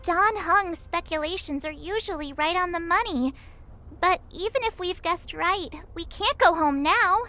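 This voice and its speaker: Very high, bright perky girl. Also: measured pace, exaggerated voice. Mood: resolute. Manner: chirpy, girlish, expressive. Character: perky, eager teenage girl adventurer. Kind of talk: anime-style video game voice acting.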